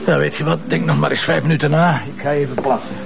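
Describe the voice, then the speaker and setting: A man with a Flemish accent playing a gruff, sarcastic, short-tempered middle-aged police commissioner in a TV crime drama. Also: a little high, steady tone, quick pace, music in the background. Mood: lecturing, irritated. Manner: blunt, colloquial, hectoring. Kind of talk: naturalistic TV crime drama acting.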